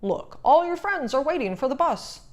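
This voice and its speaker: A woman voicing a kindly turtle father in a storybook, gentle and encouraging. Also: high-pitched, very animated, moderate pace, pitched above the performer's own voice. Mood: reassuring. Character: kindly, reassuring turtle father of a young child.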